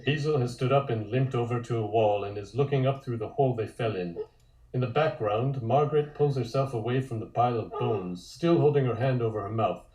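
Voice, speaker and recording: Casting: male storyteller for table-read narration, warm mid-range voice, measured; roomy audio. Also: medium pitch. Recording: roomy, echoey sound.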